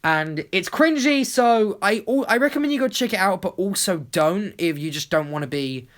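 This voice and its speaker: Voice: medium pitch, expressive, normal speed, fairly bright tone. A YouTube creator giving a casual, enthusiastic voice-over that rambles along like an informal chat.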